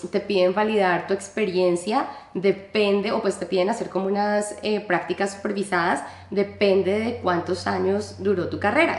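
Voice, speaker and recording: A woman from Colombia, a psychologist, giving measured, explanatory interview answers. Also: medium pitch, reverberant.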